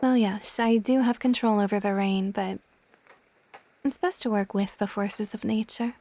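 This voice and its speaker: A woman voicing an ancient, gentle and possessive dragon goddess in a soft, intimate, partly whispered ASMR roleplay. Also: mid-range voice, fairly even intonation, normal speed, close to an everyday voice. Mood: gently probing. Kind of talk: ASMR romantic audio roleplay.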